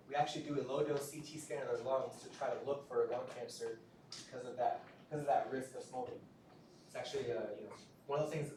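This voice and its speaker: Man lecturing from a distance in an echoey room. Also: mid-range voice. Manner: conversational, repeats words, frequent "right?" checks. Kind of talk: recorded classroom lecture. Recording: roomy, distant lecture-hall recording with faint noise.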